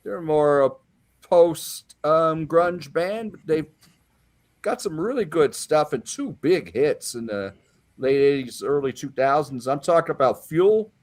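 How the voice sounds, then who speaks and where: A man in his fifties from Pennsylvania talks music as a panelist on a casual livestream. His voice sits a bit high and swings widely, and he is enthusiastic and jokey. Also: animated, measured pace, a little mellow. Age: 50s.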